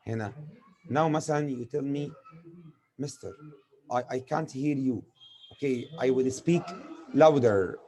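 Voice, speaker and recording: Mellow-voiced Egyptian man teaching English. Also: medium pitch, moderate pace, long pauses, low-quality recording. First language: Arabic. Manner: didactic, asks and answers own questions. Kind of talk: spoken lesson explaining with examples.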